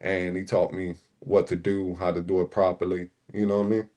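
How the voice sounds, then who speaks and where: Deep-voiced laid-back man from Puerto Rico. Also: little pitch movement, brisk, warm tone. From Puerto Rico, raised in Newark, New Jersey. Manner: laid-back, many "you know" fillers. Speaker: panel regular on a livestream show. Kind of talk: casual livestream chat.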